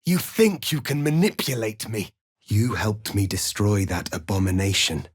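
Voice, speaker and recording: A man playing a proud, stern alien warrior prince in a fantasy video game, speaking formally and gravely with commanding, measured delivery. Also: lowish voice, dry recording, studio quality. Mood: defiant, grave. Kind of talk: dramatic fantasy game dialogue.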